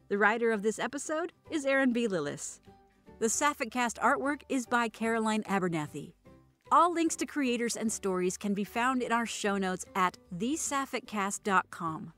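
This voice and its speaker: A woman narrating scripted audio fiction and podcast credits with an expressive, wry storytelling manner. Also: fairly high pitch. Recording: dry recording with music behind. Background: music.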